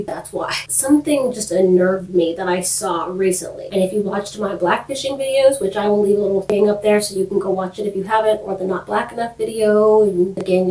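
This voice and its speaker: Woman vlogger with a cold, nasal and stuffy, mid-pitched, earnest and chatty, roomy off-mic sound. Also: darkish tone, far from the mic. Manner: earnest and chatty, talks with her hands. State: sick, congested and nasal. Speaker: vlogger, channel host. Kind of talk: casual talk to camera. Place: home room at night.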